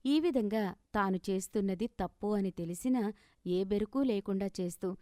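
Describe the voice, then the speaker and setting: Mid-pitched woman storyteller, dry close sound. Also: normal speed, warm tone, dry recording. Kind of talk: scripted moral story narration.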